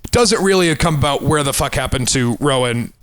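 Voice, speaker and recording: A man's voice: mid-range voice, lively intonation, normal speed. A man co-hosting a podcast, talking about film in a casual, rambling way with plenty of swearing. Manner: profane, rambling delivery. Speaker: podcast co-host.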